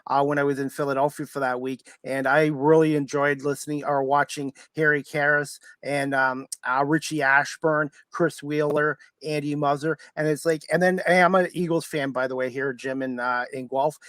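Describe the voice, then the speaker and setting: A man of about fifty hosting a casual interview, speaking haltingly as an enthusiastic sports fan. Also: a little high, level tone, fairly bright tone, no echo. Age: around 50. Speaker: talk show host.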